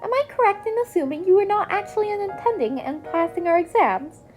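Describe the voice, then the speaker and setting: A woman plays a cheerful little creature principal in an audio story. The voice is very high and swings lively as it talks in polite, eloquent phrases. Music plays softly behind it. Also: fairly quick. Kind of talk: acted storybook dialogue.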